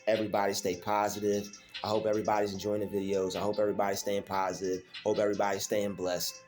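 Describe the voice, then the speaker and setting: A man's voice: on the low side, level tone, fast, a little mellow, faint music behind. A man hosting his own faith vlog alone at home, talking casually and emphatically, repeating phrases and using many fillers. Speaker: channel host.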